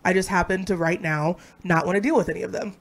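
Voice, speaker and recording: A woman with a low voice that is hoarse and stuffy from a cold, ranting outspokenly in a casual chat as a podcast co-host. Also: steady tone, fast. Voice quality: low, sick-sounding voice.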